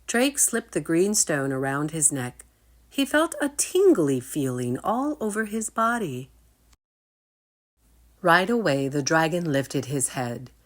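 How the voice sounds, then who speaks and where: A woman reading a storybook aloud to children, expressively, speaking straight to her listeners. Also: sits low. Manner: engaging listeners with asides.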